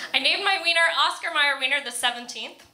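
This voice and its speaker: A woman with an American accent performs stand-up in an echoey club. Her voice sits fairly high and has a bright, forward edge. She tells stories casually at her own expense and often giggles through them. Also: moderate pace. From Florida, United States. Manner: self-deprecating, casual storytelling. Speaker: stand-up comedian. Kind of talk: stand-up comedy set. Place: indoor comedy club stage. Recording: echoey room, live comedy club.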